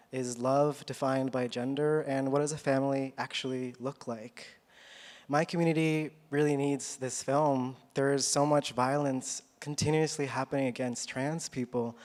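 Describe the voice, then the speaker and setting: A man from Chile, a filmmaker, giving an earnest and personal live pitch for his film. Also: a little mellow.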